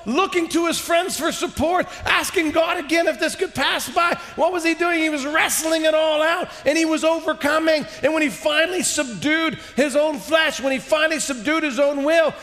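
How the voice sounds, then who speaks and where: A man in his forties delivers an impassioned sermon in a large church sanctuary, his voice strikingly high. He swings from shouted peaks to low, hushed lines. The headset mic catches a long reverb trailing through the hall. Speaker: preacher.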